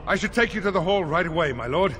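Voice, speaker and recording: A man's voice: high voice, lively intonation, fast, fairly bright tone, soft background music. A man playing an anxious, dutiful castle messenger in a fantasy video game cutscene. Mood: relieved, urgent.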